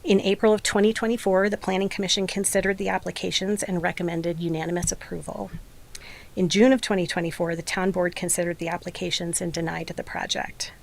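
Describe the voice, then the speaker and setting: A woman with a slightly hoarse voice giving a prepared, formal presentation as a development applicant at a public meeting. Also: on the low side, level tone, fairly quick, rough recording.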